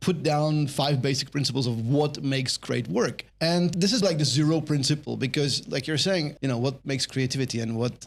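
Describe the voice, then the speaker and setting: A man's voice: medium pitch, normal speed, few pauses. A middle-aged man with a Czech accent, a guest chatting on a podcast about his career, thoughtful and rambling with restarts. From Czech Republic. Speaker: guest, brand marketer. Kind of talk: casual podcast conversation.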